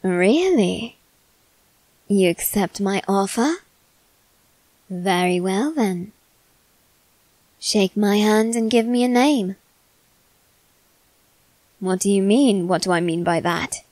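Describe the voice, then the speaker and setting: A silky young male demon, played by a woman, speaks softly and courteously straight to the listener in an ASMR roleplay. The delivery is slow and unhurried, at an average pitch, close to the mic in a dry, dead room. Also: lively intonation, no room sound, rough recording. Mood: coaxing. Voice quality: soft, measured and courteous.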